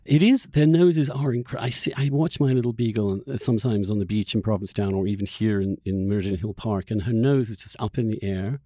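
A man's voice: lowish voice, brisk, few pauses, mellow, dry recording, studio quality. A man hosting a podcast, chatting casually and animatedly in a conversational interview. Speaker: podcast host.

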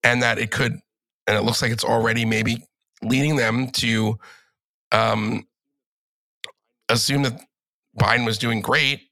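A man with a cold and a raspy voice, chatting casually as a podcast guest and rambling through restarts and fillers. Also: mid-range voice, fairly even intonation, a little slow, long pauses, sharp tone, no room sound. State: has a cold. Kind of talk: casual political chat.

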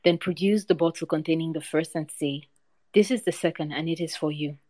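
A woman's voice: deep voice, steady tone, brisk, darkish tone, dry recording. A woman reading as a solemn king of snakes. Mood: grave. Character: proud male snake king, wise and fatalistic.

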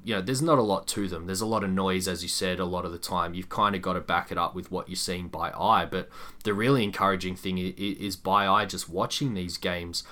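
A man's voice: low voice, sharp tone. A man co-hosting a sports podcast, talking through analysis casually and at length, with explanatory asides.

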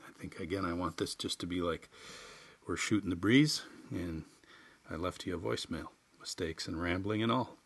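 A man's voice: sits low, moderate pace, creaky, breathy edge. A man hosting a podcast, reading humorous personal essays and chatting informally in a wry, folksy, self-deprecating way. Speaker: writer and podcast host.

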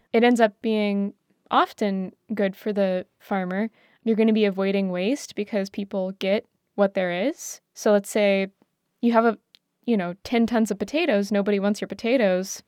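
A woman with a smooth, clean voice in a very dry recording talks casually as a panelist. She explains in an informal way, working through her thoughts out loud. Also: mid-range voice, no echo. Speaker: talk show panelist.

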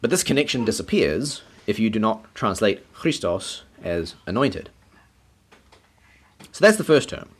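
A man preaching a teaching sermon, explaining scripture in a measured, explanatory way. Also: mid-range voice, fairly bright tone, slightly rough. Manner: explanatory, measured, occasional light laugh.

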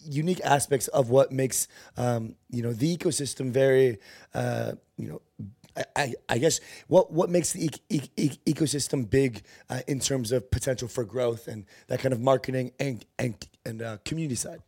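A man's voice: medium pitch, normal speed, mellow, breathy edge. A man hosting an interview in a loose, rambling way, with casual slang and frequent restarts. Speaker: host. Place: conference venue.

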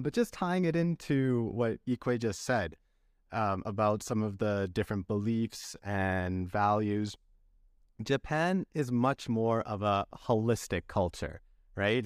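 Relaxed male podcast host, clear voice. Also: lowish voice, measured pace, no room sound, studio quality. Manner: friendly, relaxed, reads a scripted intro. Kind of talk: casual interview.

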